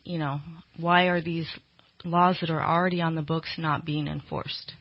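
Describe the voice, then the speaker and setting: A woman's voice: low-pitched, flat delivery, moderate pace. A woman political candidate answering interview questions conversationally, explaining things with some hesitant phrasing. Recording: dull, lo-fi radio interview audio.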